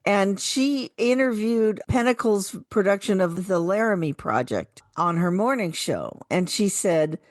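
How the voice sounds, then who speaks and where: Casting: woman as radio co-host, conversational, expressive intonation, close dry sound. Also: mid-range voice, measured pace, hardly any pauses, no echo. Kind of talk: casual radio chat with some program introductions.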